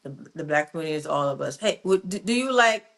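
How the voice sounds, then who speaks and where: A woman's voice: deep voice, expressive, fast, creaky, a bit bright. A woman born in Jamaica who grew up in New York, co-hosting a casual morning talk show and making her points emphatically.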